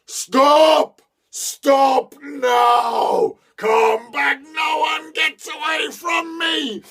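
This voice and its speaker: Female demon from a told folk tale, shrill and shouting, man voicing. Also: steady tone, drawn-out words, gravelly, full character voice. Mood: furious. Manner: pleading then screaming, shrill. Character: female demon luring travelers, shrieking, furious.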